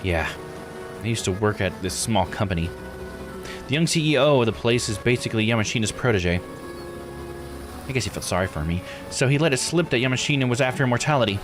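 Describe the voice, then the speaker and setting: A man's voice: medium pitch, lively intonation, fast, long pauses, music in the background, close to an everyday voice. A man voicing a resentful, haughty young woman, a scorned noble-born island diver, reading visual novel dialogue bluntly. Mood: resigned, confiding. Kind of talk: visual novel character read-aloud.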